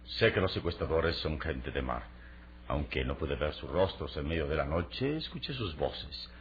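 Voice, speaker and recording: A very deep, commanding adventure hero. His delivery is formal and emphatic, swinging widely in pitch. It sounds like a muffled, narrow old radio serial. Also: lively intonation, moderate pace, a little hiss or hum. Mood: resolute. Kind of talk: Latin American radio serial acting.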